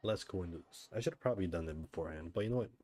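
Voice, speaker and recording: Low-voiced man casually streaming a game. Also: racing, dark tone. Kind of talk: casual gameplay commentary.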